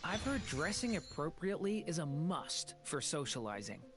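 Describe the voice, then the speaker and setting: A gentle young boy, innocent and curious, speaking with a very lively, sincere lilt. It is a video game character, with music clearly behind the lines. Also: sits low, moderate pace. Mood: earnest.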